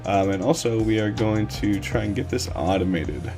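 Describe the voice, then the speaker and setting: A man with a slightly hoarse voice, streaming a casual coding tutorial from his desk and rambling with lots of fillers. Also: fairly low pitch, moderate pace, over music. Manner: relaxed, rambling with many fillers. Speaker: livestream coding instructor.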